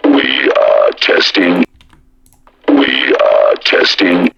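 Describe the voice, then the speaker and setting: A man voicing a robotic masked sci-fi police soldier from a video game, slow and clipped, through a buzzing, distorted vocoder radio filter. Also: very deep voice, animated, vocal fry, breathy edge, pitched below the performer's own voice, full character voice. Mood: cold, official. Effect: distorted phaser vocoder radio filter. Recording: muffled, band-limited radio-style distortion.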